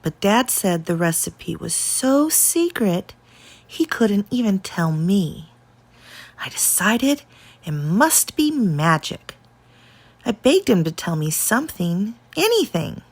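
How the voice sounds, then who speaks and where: A woman reading a children's story aloud expressively, with deliberate pauses and dramatic emphasis. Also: medium pitch, sing-song, dry recording.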